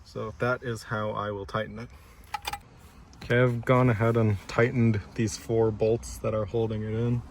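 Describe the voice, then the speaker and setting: A man's voice: fairly low pitch, flat delivery, normal speed. A man giving a casual, methodical how-to walkthrough, explaining each step as he works inside a car. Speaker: DIY installer presenting his project. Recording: clear background noise inside a car.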